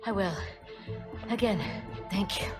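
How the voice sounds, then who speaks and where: A woman's voice: mid-range voice, a little slow, close to an everyday voice. A woman playing a shaken, grateful robbery witness in a video game, speaking seriously and urgently. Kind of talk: video game cutscene acting. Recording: loud music over speech.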